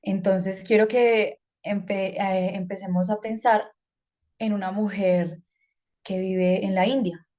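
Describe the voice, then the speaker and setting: Woman from Colombia, presenting a talk, conversational, hesitant fillers, medium pitch. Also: steady tone, slow, mellow. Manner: conversational, hesitant with fillers, asks about slides. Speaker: speaker.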